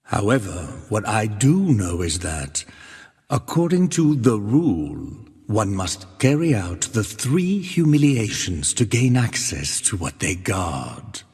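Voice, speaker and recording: An actor playing a solemn, devout penitent who narrates and bears witness in archaic, measured speech in a video game. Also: male voice, fairly low pitch, sing-song, a little mellow, slightly rough, exaggerated voice. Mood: grave, foreboding. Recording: long cavernous reverb.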